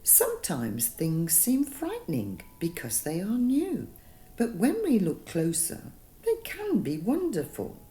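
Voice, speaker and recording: A woman voicing a kindly, reassuring lady strawberry in a storybook, soft, gentle and soothing. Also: mid-range voice, huge pitch swings, takes it slowly, voice pushed up. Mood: wise, gentle.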